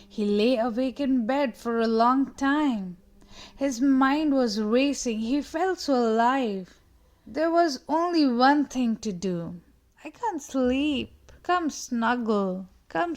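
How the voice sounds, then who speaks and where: Woman reader, slow and gentle children's storybook narration, slightly high voice. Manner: gentle, slow, changes her pitch for the characters' lines. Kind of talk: children's picture book read-aloud.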